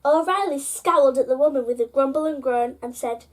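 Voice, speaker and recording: High-voiced woman reading bedtime stories. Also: fairly quick. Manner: addresses child listeners with prompting questions. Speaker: host reading children's picture books aloud. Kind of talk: bedtime storytelling to young children.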